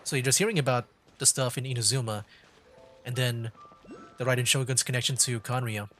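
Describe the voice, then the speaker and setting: A man's voice: medium pitch, a little fast, a bit bright, faint music behind. A man doing casual live gameplay commentary as a streamer, musing informally as he goes. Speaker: game streamer.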